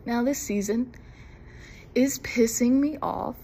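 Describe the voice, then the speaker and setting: Young woman, podcast host, nervous and giggly, rambling chat, faint rumble behind. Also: slow pace, warm tone. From Mississippi. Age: young adult. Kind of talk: casual solo podcast chat.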